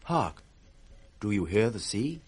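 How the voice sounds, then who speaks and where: A man's voice: on the low side, very animated, slow, husky, heavily acted. An actor playing a loyal, urgent young nobleman in disguise, speaking vivid theatrical verse with a British accent in 1960s radio Shakespeare.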